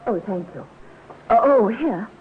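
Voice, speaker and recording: An actress playing an anxious, insistent, well-bred English hotel guest in a 1950s radio drama. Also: female voice, fairly high pitch, expressive, a little slow, slightly rough, heavily acted. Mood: uneasy. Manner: genteel, fretful, insistent. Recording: tinny, noisy old radio recording.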